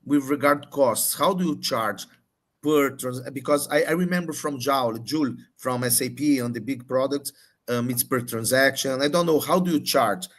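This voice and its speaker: A man moderating a live Q&A, putting questions in a halting way as he searches for words and rephrases. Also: mid-range voice, fairly bright tone. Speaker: moderator relaying audience questions.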